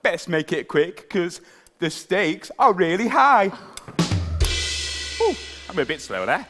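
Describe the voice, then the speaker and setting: A man playing a pompous, fussy, excitable king in broad pantomime comedy, with a posh, dithering manner. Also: high-pitched, slow pace, music in the background, exaggerated voice. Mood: cheery, excitable.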